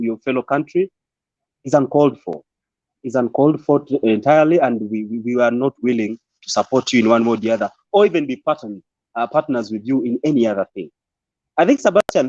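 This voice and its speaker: Earnest guest, mid-pitched man, repeats himself when building a case, dry and muffled call sound. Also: a little mellow, no echo. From Uganda. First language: Ateso and Swahili. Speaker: panel guest. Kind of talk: online panel discussion.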